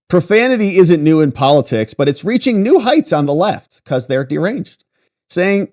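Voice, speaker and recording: An American man hosting political commentary, reading articles aloud and breaking in with sarcastic, mocking asides. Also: a little high.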